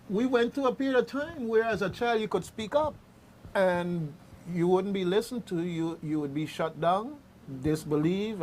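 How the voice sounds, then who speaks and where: A man hosting a radio discussion, conversational and halting, often repeating phrases as he goes. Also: high voice, a little hiss or hum. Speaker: radio host. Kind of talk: unscripted discussion and commentary. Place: radio studio. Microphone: studio microphone.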